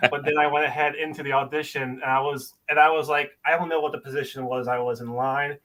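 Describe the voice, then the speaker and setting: A man's voice: on the high side, flat delivery, fast talker, a bit bright, a little hiss or hum, poor audio. A man calling in to an audition, chatting casually in a candid, polite way. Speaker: auditioning caller. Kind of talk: casual explanatory chat.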